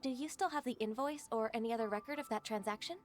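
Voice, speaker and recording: A woman's voice: a little high, fast, close to an everyday voice. A woman voicing a polite, earnest young government secretary in a video game, speaking in a formal, composed way. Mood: polite, businesslike. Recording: studio voice over game music.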